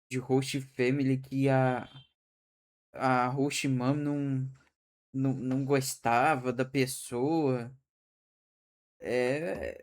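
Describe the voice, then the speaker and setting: A young man streaming a reaction, talking casually with slang and frequent restarts. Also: medium pitch. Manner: hesitant, restarts often, slangy.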